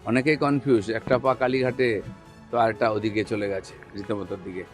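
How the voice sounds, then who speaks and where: A man's voice: on the low side, steady tone, fairly quick, faint music behind. A man speaking off the cuff as a politician making remarks to the press.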